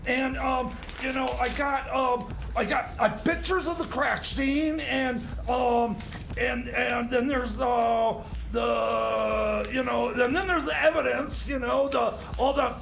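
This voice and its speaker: Radio comedy mechanic, played by a man: very high, gravelly voice, flustered, grumbling, stammering. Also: slow pace, a bit bright, soft background music, full character voice. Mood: flustered, rambling. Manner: stammering, rambling, hesitant. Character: flustered, grumbling, defensive male time machine mechanic. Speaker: time machine mechanic on comedy radio show. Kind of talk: improvised-sounding comedy radio theater.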